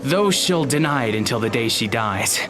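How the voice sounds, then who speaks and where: An actor playing an awkward, polite teenage high school boy in an audio drama, speaking hesitantly with small stammers. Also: animated, moderate pace, over music, lightly acted. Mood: hesitant, friendly. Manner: hesitant, stammering, nervous chuckles.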